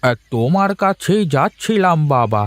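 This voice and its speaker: A man voicing a frail, humble old village uncle in a cartoon, ailing and grateful, with halting speech full of interjections. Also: fairly high pitch, very animated. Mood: weary. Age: older.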